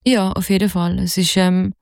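A woman's voice: fairly low pitch, flat delivery, moderate pace, vocal fry, darkish tone, no echo, studio quality. A young woman from Zurich speaking with a Zurich Swiss German accent, a singer-songwriter talking casually in an interview, hesitant with many fillers and restarts. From Zurich, Switzerland.

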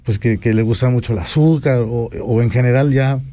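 A man's voice: medium pitch, a little fast, mellow. A Mexican man in his forties chatting as an interview guest, often ending phrases with a questioning tag. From Pachuca, Mexico. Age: 40s. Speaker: guest rapper. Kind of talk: casual interview talk.

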